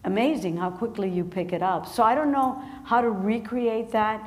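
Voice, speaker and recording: A woman author talking with an audience at a book event. She laughs often and speaks in halting restarts. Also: on the high side. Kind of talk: conversation at a public book event. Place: large hall with an audience. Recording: echoey hall with faint noise.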